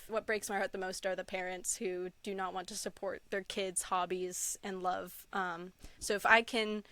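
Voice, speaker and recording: A woman's voice: mid-range voice, level tone, normal speed, pushed and bright, no echo. A woman telling anecdotes as a podcast guest in a casual, enthusiastic and earnest way. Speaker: podcast guest, Pokémon content creator; store employee. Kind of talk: casual interview chat, anecdotes.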